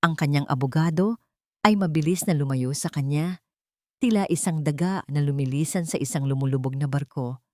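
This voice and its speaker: A woman's voice: sits low, brisk, warm tone, no room sound, clean studio sound. A woman narrator reading a scripted dramatic love story.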